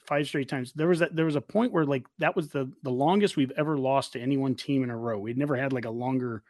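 A man's voice: medium pitch, fast talker, a little mellow, no echo. Podcast host, American man in his forties, enthusiastic and rambling sports talk, very dry recording. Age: 40s. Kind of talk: casual sports chat.

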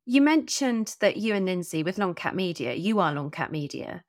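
A woman's voice: on the low side, big pitch swings, normal speed, no room sound, studio quality. A woman hosting a conversational podcast interview, speaking earnestly and affirmingly with emphatic repetition.

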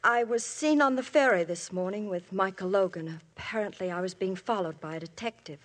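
A woman's voice: medium pitch, fairly quick, natural voice. An actress playing a married woman in love with a priest, speaking in an intense, emotional, pleading way in a 1950s radio drama. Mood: resigned.

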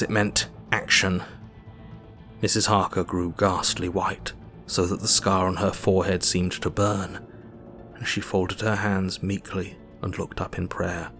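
A man playing a grave Victorian doctor narrating his diary in a measured, literary way for an audio drama. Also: lowish voice, a bit bright.

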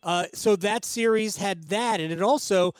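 A man hosts a conversational sports show in a high-pitched, smooth voice. He is eager and opinionated, rambling a little as he recalls things. The sound is close and dry, with no room echo. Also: moderate pace.

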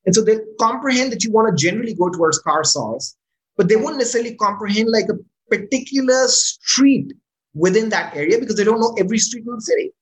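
A startup founder gives a casual interview. The pitch moves a lot, and the voice is animated and expressive. The speaker often restarts words and leans on tag questions like "right?" to keep the listener in step. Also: fast, low-quality recording. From Pakistan.

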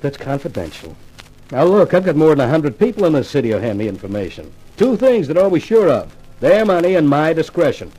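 A man's voice: on the high side, a little mellow, rough recording. An actor playing a cocky, wisecracking male columnist in a 1950s radio detective drama, glib and wry. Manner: glib, wry, self-assured.

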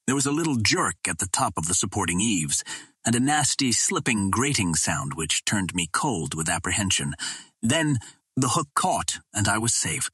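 A man narrates an adventure story in a low, rough-edged husky voice. His delivery is measured and dramatic, like an audiobook, and the recording is close and dry. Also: big pitch swings, pushed and bright, dry recording. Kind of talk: scripted narration of an adventure novel.

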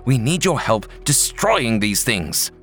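A man's voice: mid-range voice, big pitch swings, slow pace, fairly bright tone, faint music behind, full character voice. A man voicing a stern male religious official who gives curt orders. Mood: commanding. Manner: curt, commanding.

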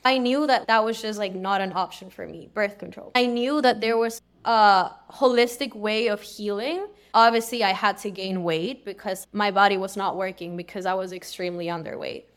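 A young woman from Peru talks as a guest on a podcast. Her voice is crisp and smooth, sitting at an average pitch. She tells personal stories in a casual, candid and earnest way. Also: steady tone, normal speed, few pauses. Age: young adult.

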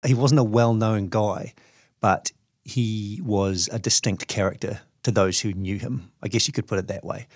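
A man's voice: on the low side, steady tone, moderate pace, darkish tone, slightly rough, no echo, studio quality. A man with a New Zealand accent, speaking as a journalist. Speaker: journalist reading his own story.

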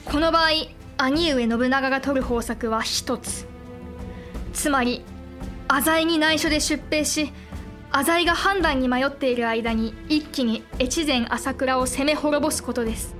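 A woman playing a shrewd, composed young noblewoman in a Japanese period audio drama, speaking formal archaic language with measured assurance. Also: high-pitched, fairly bright tone, soft background music. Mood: composed, assured.

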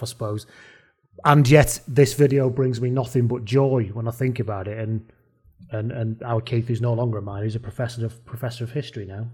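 A man's voice: medium pitch, dark tone. A man in his forties with a Lancashire accent, hosting a casual chat and telling a personal story with tangents and self-deprecating asides. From Lancashire, England. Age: 40s. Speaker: podcast host.

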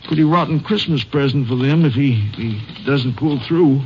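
An actor playing a shaken, earnest bank vice president in a 1950s radio drama, with a hesitant, stammering American delivery. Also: male voice, mid-range voice, normal speed, close to an everyday voice. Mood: earnest, troubled. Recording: muffled old radio recording, faint noise.

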